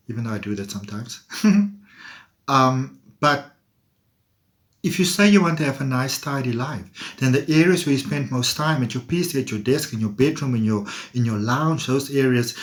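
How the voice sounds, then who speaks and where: A man giving a playful, chatty tarot reading, laughing often and talking to viewers. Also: mid-range voice. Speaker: tarot reader. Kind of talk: casual tarot reading monologue.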